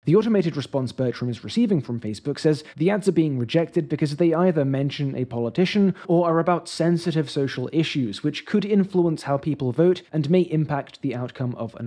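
A man reading a news article aloud in a plain, even way. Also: on the high side. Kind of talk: read-aloud news quotation.

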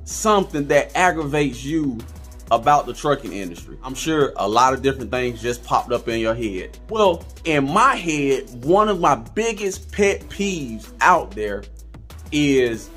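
A man's voice: mid-range voice, lively intonation, measured pace, a bit bright, breathy edge, soft background music. A man in his thirties, a vlogger and truck driver, giving an emphatic, preachy direct-to-camera rant in a small room. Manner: emphatic, preachy, repeats phrases for effect. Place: small indoor room with kitchen cabinets.

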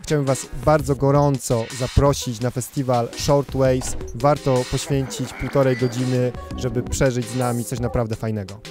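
Male festival director, promotional talk. Mid-pitched, even delivery with halting pauses, light music underneath.

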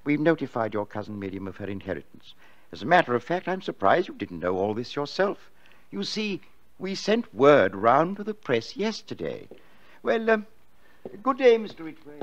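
An actor playing a formal, probing family solicitor in a radio drama, speaking deliberately with polite hesitations. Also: male voice, on the high side, sing-song, dull audio.

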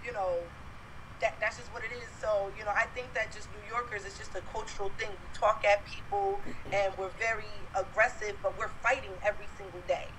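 A young woman from Brooklyn with a New York accent, chatting forcefully as a guest on a phone video call from her bedroom. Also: mid-range voice, fairly even intonation, a little fast, background noise. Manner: forceful, talks at people. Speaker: interview guest. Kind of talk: casual video-call chat. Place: bedroom at home, indoors.

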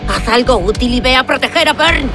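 A woman voicing a feisty, sarcastic animal warrior in a cartoon, sharp and scolding. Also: high-pitched, expressive, fairly quick. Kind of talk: cartoon dub acting. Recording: music behind the voice.

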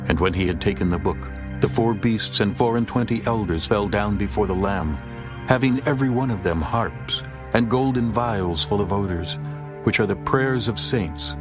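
A man's voice: on the low side, moderate pace. Solemn male scripture narrator. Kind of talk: dramatized scripture reading. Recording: narration over loud music.

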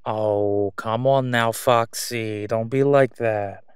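A man playing a slick middle-aged crime boss in a roleplay audio drama, with a sarcastic, condescending, smooth-talking delivery. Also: lowish voice, fairly even intonation, slow pace, dry recording, studio quality. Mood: mocking, amused. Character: slick middle-aged male crime boss, mocking, sly, self-assured.

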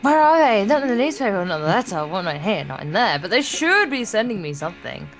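A woman's voice: medium pitch, very animated, quick pace, soft background music. A woman hosting a Let's Play, reading visual novel text aloud with informal, jokey asides and the odd stumble. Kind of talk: reading game text aloud with casual banter.

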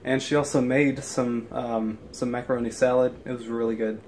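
A man's voice: medium pitch, little pitch movement, moderate pace, a little mellow, slight background noise. A young man vlogging his day to a camera held at arm's length, stuffed up from allergies, rambling with many ums and restarts. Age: young adult. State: congested from allergies. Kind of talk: casual daily vlog. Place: indoors in a house, also outdoors by the house. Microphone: handheld camera at arm's length.

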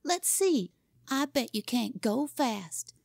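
A woman's voice: high voice, very animated, takes it slowly, vocal fry, dry recording, clean studio sound, voice pushed up, heavily acted. A woman voicing a boastful, pompous small boy in a storybook, insistent and given to repeating himself. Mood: challenging. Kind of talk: storybook character reading.

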